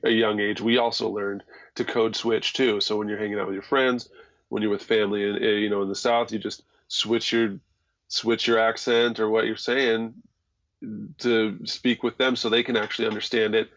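A man with a lowish voice talks casually as a podcast co-host. His intonation stays very flat and even. The sound is a little dull and lo-fi. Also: moderate pace. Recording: dull, lo-fi voice recording.

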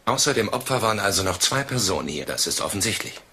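An actor playing an officious, efficient police officer in a German radio crime drama, reporting formally and briskly. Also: male voice, lowish voice, sing-song. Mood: brisk, bossy.

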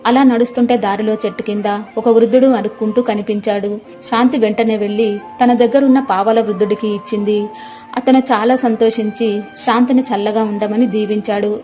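High, mellow female storyteller. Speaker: narrator. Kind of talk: scripted story narration.

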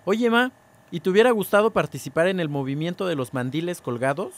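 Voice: mid-range voice, fairly quick, close to an everyday voice. A performer playing a curious young son in a radio drama, asking questions. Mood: curious, hesitant.

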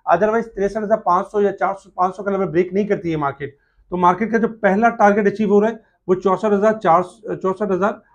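A man in his thirties with a Pakistani Urdu accent, giving a daily stock market update from a small office and filling pauses with frequent "uh"s. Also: sits high, dull audio. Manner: steady explanatory delivery with frequent "uh" fillers. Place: small office with desk and monitor, indoors. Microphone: camera at desk distance.

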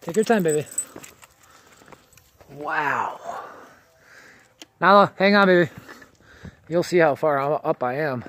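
A man's voice: a little high, slow pace, pauses often, fairly bright tone. Man hiking, coaxing his dog outdoors. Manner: coaxing, repeatedly calling to his dog in a raised voice. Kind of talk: casual running commentary while hiking. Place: outdoors on rocky canyon slopes. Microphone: handheld camera held while climbing.

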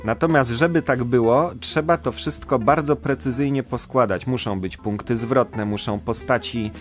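A man's voice: mid-range voice, normal speed. A man talking reflectively in an interview about his work. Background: background music.

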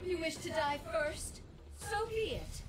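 A woman's voice: very high voice, animated, takes it slowly, music in the background, heavily acted. A woman playing an imperious, vengeful otherworldly warrior villainess in a video game, haughty and formal. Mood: threatening.

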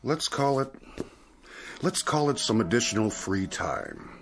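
An actor playing a suave devil who coaxes like a genteel salesman, with drawn-out theatrical phrasing, in a radio drama. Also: mid-range voice, sing-song, vocal fry. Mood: persuasive.

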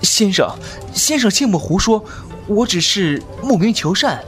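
A man's voice: high-pitched, very animated, unhurried, fairly bright tone, full character voice. A man playing a polite young nobleman who gets flustered when teased, with music behind him. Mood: courteous. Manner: courteous, flustered when pressed. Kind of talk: Chinese audio drama acting. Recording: over background music.

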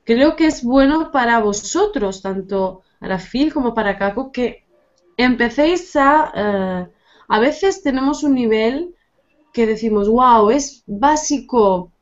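A young woman with an accent from Spain, teaching a friendly online conversation class, encouraging and quick to laugh. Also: on the high side, animated. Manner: bubbly, encouraging, laughs often. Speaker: Spanish teacher. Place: small room at home. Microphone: webcam video call. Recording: dull lo-fi video call audio.

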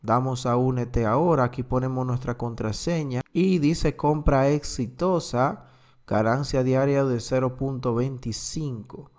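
Slow-talking man narrating a screen recording. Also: medium pitch, fairly even intonation, a little mellow. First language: Spanish. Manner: slow, filler-heavy explaining. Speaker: crypto platform reviewer.

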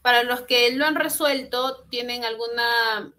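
A young woman teaching online, patient and unhurried, her voice bright and a little high. She moves between Spanish and English as she talks through each exercise, on a laptop mic from a small room at home. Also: fairly even intonation. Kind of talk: online class explaining exercises. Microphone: laptop webcam on a video call.

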